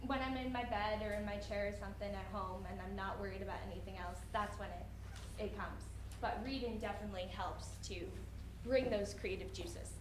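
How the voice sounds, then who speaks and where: A young woman writer answering audience questions off the cuff, often halting and restarting her thoughts. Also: on the high side. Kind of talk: unscripted question-and-answer talk after a reading. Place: indoor room at a college event. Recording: roomy echo, faint background noise.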